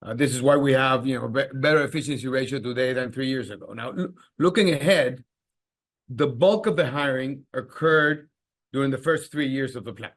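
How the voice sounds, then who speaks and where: Halting male executive explaining results. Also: mid-range voice. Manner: halting, repeats words. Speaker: company executive. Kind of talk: earnings call answers, explanatory.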